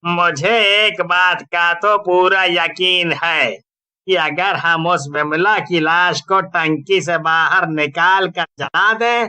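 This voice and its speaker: An actor playing a worried, argumentative village man in an animated story, speaking emphatically with exclamations. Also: high-pitched, smooth, heavily acted. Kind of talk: animated moral story character acting.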